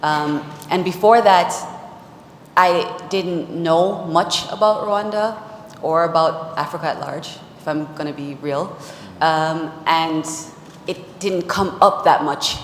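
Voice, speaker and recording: A woman's voice: deep voice, slow pace. A woman, a vlogger and DJ, speaking openly and personally on a panel with many fillers. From Jamaica. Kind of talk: panel discussion. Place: large conference hall on stage. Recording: echoey hall with faint noise.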